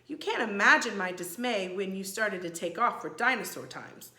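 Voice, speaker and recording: Woman as a gracious old enchantress, lively intonation. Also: medium pitch, reverberant. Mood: kindly, explaining. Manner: measured, gracious, precise.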